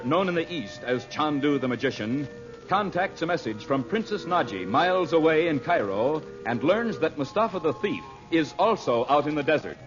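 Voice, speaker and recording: A man announcing and narrating an old radio serial in a polished, emphatic, theatrical scripted style. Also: a little high. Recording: muffled vintage radio broadcast recording.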